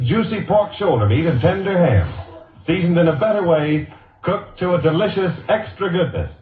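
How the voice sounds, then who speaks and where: Old radio commercial man, american, kinda high and very bouncy, salesy, boxy echoey vintage audio. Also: far from the mic, phone-quality sound. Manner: upbeat, emphatic salesmanship. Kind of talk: 1940s radio advertising copy read.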